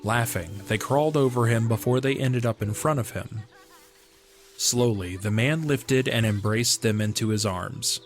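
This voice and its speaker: A man's voice: medium pitch, unhurried, faint music behind. A man reading scripted story narration as the narrator of an audio drama.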